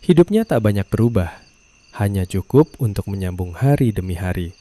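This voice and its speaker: A man narrating a scripted story in a calm, measured way. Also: lowish voice, no echo.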